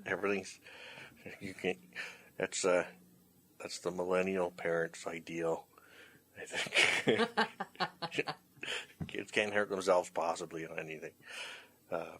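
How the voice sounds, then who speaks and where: A man hosting a casual, unscripted chat as a drama instructor, speaking in a hesitant, halting way. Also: low-pitched, gravelly, fairly bright tone. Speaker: host, drama school instructor, writer-director.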